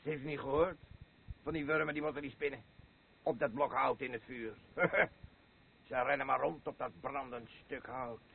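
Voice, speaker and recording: A man acting a boisterous, rambling alcoholic husband in a Dutch radio play, halting and swinging between elation and weariness. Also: mid-range voice, fairly even intonation, gravelly, phone-quality sound, a little hiss or hum, lightly acted. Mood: brooding, amused.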